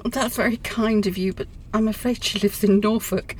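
A woman's voice: medium pitch, fairly even intonation, normal speed, a little mellow, a little hiss or hum, lightly acted. An actress playing a distraught middle-aged office woman in a radio play, with a wordy, wounded, dramatic delivery. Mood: grateful, flustered.

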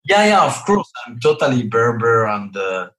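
A man's voice: medium pitch, sing-song, a bit bright. Man, echoey room. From Morocco. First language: French. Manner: halting non-native English, frequent "you know" and "uh". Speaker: guest film director. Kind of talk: interview answers.

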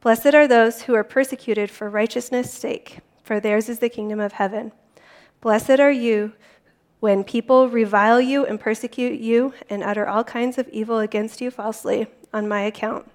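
Slightly high-voiced woman reading scripture. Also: steady tone, moderate pace. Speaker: volunteer scripture reader.

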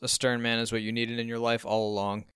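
A man voicing an unemotional, logical businessman father in an audiobook, calm, dry and matter-of-fact. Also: mid-range voice, a little fast, clean tone, dry recording, lightly acted. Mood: scoffing. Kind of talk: audiobook character dialogue.

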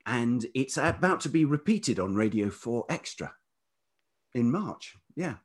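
A man's voice: mid-range voice, sing-song, measured pace, breathy edge. A man reminiscing conversationally as a podcast guest, in a casual, reflective way. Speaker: podcast guest, writer and producer.

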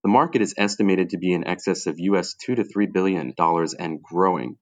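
A man's voice: low voice, a little fast. A man co-hosting a podcast, reading prepared figures and questions. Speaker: podcast co-host, technology lawyer.

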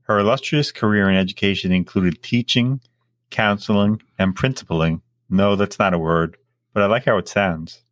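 A man's voice: lowish voice, moderate pace, no echo, clean studio sound. A middle-aged man, co-hosting a podcast with scripted lines and wry, joking asides. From Long Island, New York. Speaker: podcast co-host. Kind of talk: scripted introduction with casual asides.

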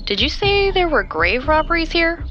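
An actress playing a curious, skeptical but sympathetic interviewer in conversational audio drama dialogue. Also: female voice, high voice, big pitch swings, moderate pace, fairly bright tone, dull audio, faint music behind. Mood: intrigued, probing. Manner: inquisitive, conversational.

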